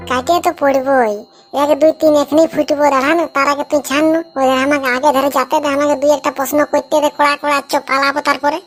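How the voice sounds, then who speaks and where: A woman's voice: sits high, flat delivery, fast talker, soft background music, close to an everyday voice. A woman voicing a nosy, teasing groom's sidekick in a comedy cartoon, chatty and questioning. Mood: bustling.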